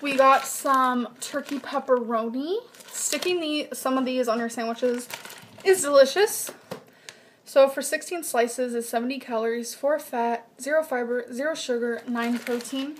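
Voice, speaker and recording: A young woman showing her groceries in a casual home vlog, chatty and reading off nutrition numbers in a flat list, recorded on a webcam in her kitchen. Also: high voice, a little slow, a little hiss or hum. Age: young adult. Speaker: vlogger. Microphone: built-in webcam mic at desk distance.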